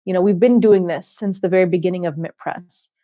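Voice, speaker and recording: Impassioned low-voiced woman host. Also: dark tone, no echo, studio quality. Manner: impassioned, measured, many fillers. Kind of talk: interview discussion.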